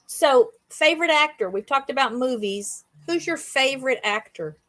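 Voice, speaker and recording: A woman's voice: high-pitched, expressive, normal speed, a bit bright, no room sound. A woman interviewing someone in a casual chat, with an informal, easygoing and encouraging manner. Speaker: interviewer, host.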